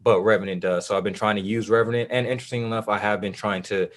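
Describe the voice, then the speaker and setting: Low-voiced man rambling about games. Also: fairly even intonation, quick pace. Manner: loose, rambling, many fillers and restarts. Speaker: podcast co-host. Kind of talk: casual gaming podcast chat.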